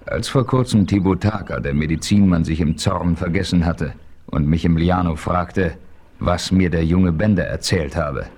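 A calm, shrewd frontier hero in a vintage radio play. His voice sits very low. He questions steadily and explains with quiet assurance. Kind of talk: 1970s radio play acting.

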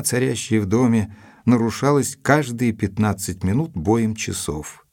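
A man's voice: medium pitch, a little mellow, husky, dry recording, studio quality. A man narrating an audio drama in the first person, reading scripted literary prose. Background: faint music.

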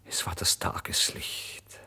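A man acting a starving, feverish, proud narrator near madness in a dramatic radio play monologue, intense and theatrical, swinging between hush and outcry. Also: takes it slowly, in a whisper. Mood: exhausted, hushed.

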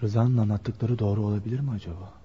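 A man's voice: on the low side, fairly even intonation, fast, noisy background, lightly acted. A man acting a sincere, impulsive, lovestruck young working-class man in a Turkish radio drama. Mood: brooding. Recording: muffled lo-fi radio recording, music behind.

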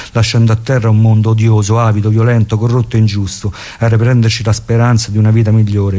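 A man's voice: on the low side, warm tone. A man reading a scripted memoir in a reflective, literary way for radio. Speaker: reader.

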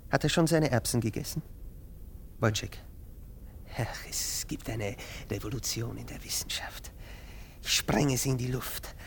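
An actor playing a pompous, excitable doctor in a German radio play, theatrical, lurching between whispers and outbursts. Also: male voice, medium pitch, unhurried, creaky, pauses often, a little hiss or hum. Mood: excited, impatient. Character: pompous, excitable male doctor obsessed with experiments.

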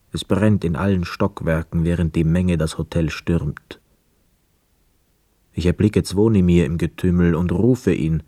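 An actor in a radio play voicing a weary, melancholy young war returnee who narrates in a sombre, measured way. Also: male voice, sits low, dark tone, no echo. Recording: dry studio with faint music.

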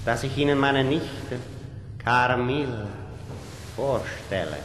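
An actor playing a courtly, commanding vampire count in a radio drama, speaking in a formal, measured way. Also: male voice, medium pitch, close to an everyday voice. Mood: courtly, composed. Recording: echoey hall with background noise.

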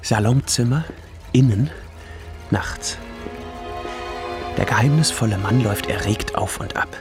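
A man narrating silent-film scene directions in a slow, measured way. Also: lowish voice. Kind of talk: scripted narration. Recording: cello music behind.

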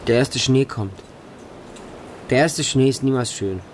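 A man's voice: medium pitch, unhurried, slight background noise, lightly acted. An actor narrating a radio drama as a bleak, hardened homeless Russian street boy, in short, stark sentences. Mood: desolate. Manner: short, plain, stark present-tense sentences.

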